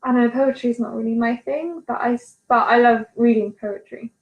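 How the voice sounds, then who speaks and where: A woman's voice: fairly high pitch, fairly even intonation, brisk, echoey room, highs cut off. A young woman whose first language is Polish, chatting casually in an interview with frequent laughs and self-deprecating remarks.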